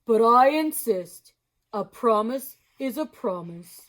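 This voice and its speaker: A woman's voice: a little high, expressive, a bit bright. A woman putting on a sweet-talking jolly moose. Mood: cheerful. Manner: sweetly coaxing, drawn-out delivery. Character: jolly, polite male moose host.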